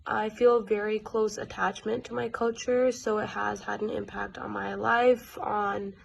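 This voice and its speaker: A woman's voice: medium pitch, level tone, measured pace, vocal fry, slight background noise. A woman from Pakistan speaking plainly and measuredly about her culture. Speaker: interviewee. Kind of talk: personal statement. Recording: dull, lo-fi recording.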